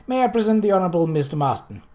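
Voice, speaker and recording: Storybook young lady, read by a narrator: proper, forthright, a little stiff, quietly playful. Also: medium pitch, big pitch swings, rapid-fire, close to an everyday voice. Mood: formal. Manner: formal, proper, slightly stiff. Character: polite young woman, forthright, a little mischievous.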